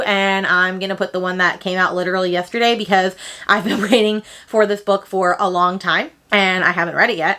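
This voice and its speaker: A young woman with a bright, forceful voice talks casually as a book vlogger. She gushes in slangy, emphatic phrases, often repeating herself to drive a point home. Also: mid-range voice. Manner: gushing, slangy, emphatic repetition. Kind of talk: casual enthusiastic chat to camera.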